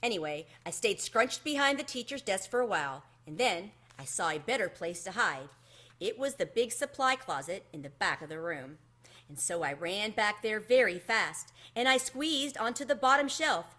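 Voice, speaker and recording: A woman reading a children's book aloud expressively, in an animated storytelling manner. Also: mid-range voice, normal speed. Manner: animated, acts out the dialogue.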